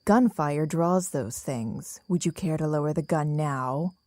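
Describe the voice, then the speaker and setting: A low, dry-recorded voice of a young woman survivor played by an actress in an audio drama. She is calm, guarded and commanding, speaking deliberately and with cool authority. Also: no room sound. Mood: cool, firm.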